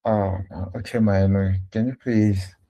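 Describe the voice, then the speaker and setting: A young man from Congo teaching a French lesson over a video call, speaking slowly and patiently with frequent fillers and restarts. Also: lowish voice, fairly even intonation, dark tone, highs cut off. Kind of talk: online one-to-one language lesson.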